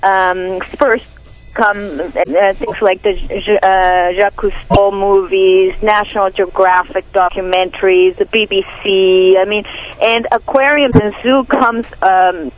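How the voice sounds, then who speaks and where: A woman's voice: mid-range voice, fairly even intonation, slow pace. Peruvian Spanish-accented woman, indignant. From Peru. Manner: earnest, indignant, frequent restarts. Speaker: animal rights activist guest. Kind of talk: radio interview. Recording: dull lo-fi radio broadcast.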